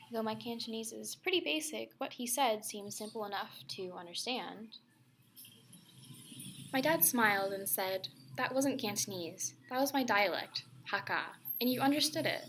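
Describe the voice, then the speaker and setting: A young woman reading a personal essay as voice-over, soft and reflective, often close to a whisper. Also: on the high side, slight background noise. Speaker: student filmmaker narrating.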